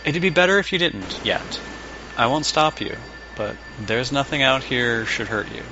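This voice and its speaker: A man's voice: mid-range voice, normal speed, gravelly, close to an everyday voice. A man acting as a blunt, sardonic therapist with a dry, probing way of talking in an audio drama. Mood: reflective. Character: blunt, sardonic, unorthodox therapist man, older than he looks. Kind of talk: audio drama dialogue and dictated case notes. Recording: muffled with loud background noise.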